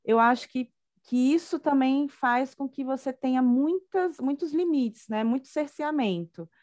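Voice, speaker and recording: A woman's voice: high voice, unhurried, darkish tone, dry recording, clean studio sound. A middle-aged woman from Bahia with a Brazilian accent, chatting casually as an interview guest. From Salvador, Bahia, Brazil. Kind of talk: casual chat.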